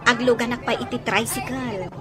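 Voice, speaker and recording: A woman's voice: a little high, fairly even intonation, normal speed, a loud crowd, close to an everyday voice. An actress playing an emotional, doting mother in a radio drama, exclaiming over her son. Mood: gentle.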